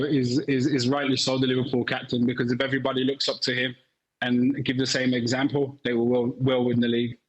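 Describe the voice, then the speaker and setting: A man with a middle-pitched voice talks football on a studio panel as a former defender. He speaks haltingly, restarting sentences and repeating words as he searches for them. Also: highs cut off. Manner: halting, many restarts and fillers.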